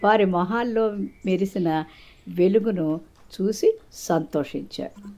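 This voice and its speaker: A woman's voice: lowish voice, takes it slowly. A woman narrator reading a scripted moral tale in a storytelling style.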